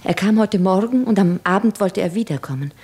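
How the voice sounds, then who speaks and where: Husky anxious, earnest lover. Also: female voice, mid-range voice, expressive, a little fast, darkish tone. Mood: uneasy, subdued. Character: anxious, insistent woman in love, urging her lover. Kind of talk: 1950s German radio drama acting.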